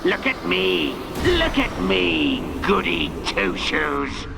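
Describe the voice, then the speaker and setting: An actor playing a sadistic war machine villain in a video game, with a growling voice and taunting delivery. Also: male voice, sits high, sing-song, slow pace, background noise, heavily acted. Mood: gloating, menacing. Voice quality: gravelly, growling.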